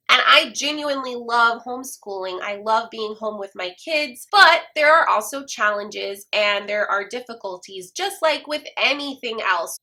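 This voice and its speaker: A woman with a congested, stuffy-nosed voice, earnestly talking to camera as a homeschooling mom. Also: on the high side. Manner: earnest, gentle, encouraging. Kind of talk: personal talk to camera.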